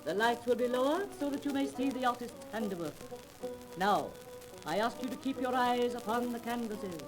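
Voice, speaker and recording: An actress in a 1930s radio drama playing a theatrical, grandiose fake spirit medium with a declamatory manner. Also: female voice, fairly high pitch, expressive, moderate pace, over music, close to an everyday voice. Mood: solemn, theatrical. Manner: declamatory, solemnly showy. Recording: noisy 1930s radio transcription disc.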